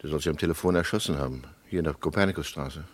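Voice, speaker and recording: Police officer, low voice, very quick, matter-of-fact, 1950s radio drama. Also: male voice. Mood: businesslike. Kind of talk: 1950s radio play acting.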